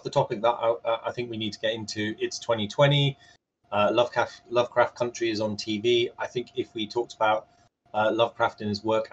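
A man with a fairly low, husky voice moderates a panel discussion. He speaks off the cuff, hesitating and repeating words. The video-call sound is slightly muffled.

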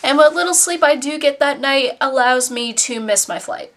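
A woman in her twenties with a Canadian accent, telling stories casually to camera in an animated, self-deprecating, sardonic manner. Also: sits high, normal speed. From Nova Scotia, Canada. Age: 20s. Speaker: vlogger. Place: small bedroom, indoors.

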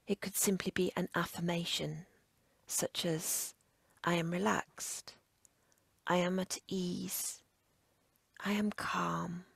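Slow, breathy, creaky woman guiding meditation. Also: fairly low pitch, no room sound. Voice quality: breathy, husky near-whisper. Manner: measured, soothing instruction in short phrases. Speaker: yoga nidra instructor. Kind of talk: guided relaxation meditation.

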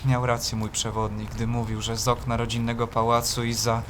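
An actor playing a shaken young seminarian, narrating an audio play in an earnest, literary and troubled manner. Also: male voice, mid-range voice, completely flat delivery, a little fast, noisy background, close to an everyday voice. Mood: anguished. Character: shaken young male seminarian from a palace, narrating his journey. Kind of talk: dramatic first-person narration in an audio play. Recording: prominent music behind the voice.

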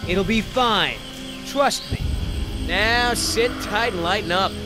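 A man's voice: high voice, takes it slowly. An actor playing a cocky, menacing male mercenary in a video game, mocking and bossy. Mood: smug, bossy. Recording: music under the voice.

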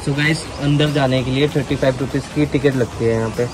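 A man's voice: medium pitch, fairly even intonation, fairly quick, low-quality recording. A young man, narrating a casual travel vlog to his viewers, mixing in English words. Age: young adult. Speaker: travel vlogger. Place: outdoors at a stream and rocky gorge. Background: loud music.

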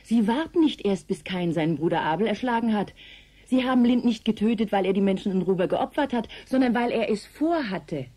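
A woman's voice: medium pitch, animated, fairly quick, warm tone, lightly acted. An actress playing a grave, alarmed woman researcher in a radio drama, speaking with brooding, insistent urgency. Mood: dark foreboding. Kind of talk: 1980s German radio drama acting.

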